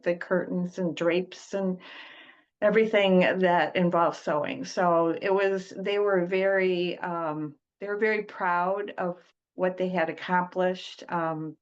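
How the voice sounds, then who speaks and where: Slow-spoken older woman on dull audio. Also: lowish voice. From Detroit, United States. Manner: many fillers and restarts, gentle and unhurried. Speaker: interview guest, healer. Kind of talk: slow, halting conversational interview.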